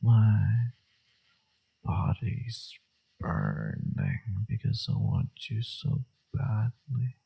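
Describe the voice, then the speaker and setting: Man acting a gravelly, husky, flirtatious villain. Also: huge pitch swings, warm tone, full character voice. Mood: seductive, pleading. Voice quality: low, husky, gravelly, breathy. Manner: slow, teasing, murmured delivery. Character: flirtatious teasing male villain, secretly tender and caring. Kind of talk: romantic audio roleplay, intimate scripted acting.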